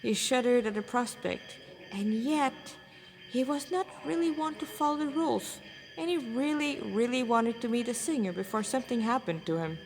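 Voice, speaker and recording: A woman's voice: fairly high pitch, normal speed, a little mellow. Echoey woman reading her own story. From Montreal, Canada. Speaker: fan fiction writer and reader. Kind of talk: amateur read-aloud of own fan fiction with informal introduction. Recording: echoey room with long reverb.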